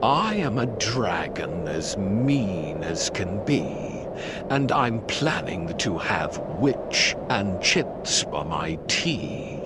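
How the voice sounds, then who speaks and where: A man voicing a mean, hungry dragon in a children's storybook, with menacing, drawn-out words. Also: lowish voice, sing-song, heavy background noise, pitched below the performer's own voice, exaggerated voice. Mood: menacing, gloating.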